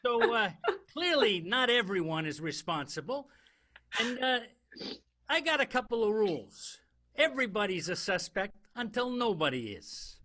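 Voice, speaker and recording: Man acting a high, bright-voiced police detective. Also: sing-song, heavily acted. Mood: deliberate, authoritative. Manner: halting, deliberate, quasi-hardboiled patter. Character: folksy, star-struck police detective in 1920s vaudeville New York. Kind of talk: improvised comedic radio play.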